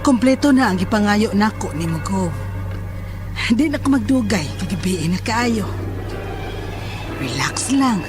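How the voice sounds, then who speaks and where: A woman plays a menacing sorceress who casts curses in a horror audio drama. She speaks deliberately, with sinister confidence, in a mid-pitched voice. Music runs clearly underneath. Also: lively intonation, close to an everyday voice. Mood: confident, boastful.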